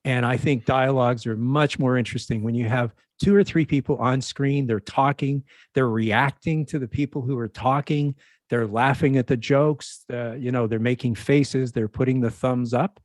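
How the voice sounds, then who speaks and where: Man, medium pitch, dry close sound, enthusiastic panelist, emphatic, casual. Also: steady tone, normal speed, dry recording. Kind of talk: casual unscripted discussion. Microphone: microphone on an online video call.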